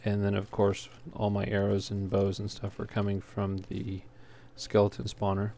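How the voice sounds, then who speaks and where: A man giving casual gameplay commentary in a halting way, as if thinking aloud while he plays. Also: on the low side, monotone, warm tone. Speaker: let's player, host. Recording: clear background noise.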